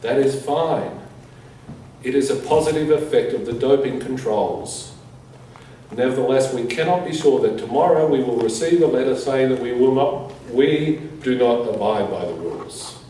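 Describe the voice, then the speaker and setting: A man with a soft, mellow voice reads a prepared statement aloud at a conference. He goes in measured, deliberate phrases. The room is heavily reverberant and he sounds some distance from the mic, with faint noise behind him. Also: mid-range voice. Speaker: conference speaker. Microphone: distant from the speaker.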